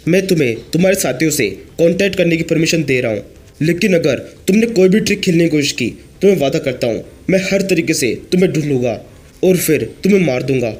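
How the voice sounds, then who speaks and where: A hoarse man reading the lines of a cold, ruthless young cultivator who issues threats. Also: fairly high pitch, lively intonation, quick pace, darkish tone. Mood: threatening. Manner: threatening, commanding.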